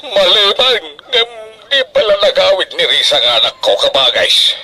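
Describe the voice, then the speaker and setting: Emphatic strict father, bright forceful voice. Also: high-pitched, big pitch swings, normal speed, heavily acted, poor audio. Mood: worried. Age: middle-aged. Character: strict, worrying Filipino father of a student daughter. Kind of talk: Ilocano radio drama acting.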